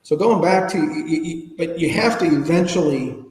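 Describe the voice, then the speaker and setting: Mature male interview guest, fifties-plus, slightly high voice, informal animated storyteller, recorded in a reverberant room. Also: moderate pace. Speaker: interview guest, public speaker. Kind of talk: conversational personal storytelling. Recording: echoey room with long reverb.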